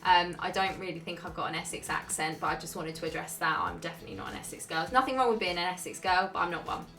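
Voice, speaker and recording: A woman's voice: lowish voice, quick pace, fairly bright tone, soft background music. A young woman answering viewer questions in a casual, chatty way, with frequent "um"s and a laid-back delivery. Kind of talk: casual Q&A chat.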